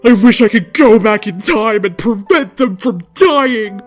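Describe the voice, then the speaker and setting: Overwrought storybook hero, read by a man: young, impulsive, high-pitched, melodramatic. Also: animated, unhurried, soft background music. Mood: distraught. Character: distraught, hot-headed young fantasy warrior.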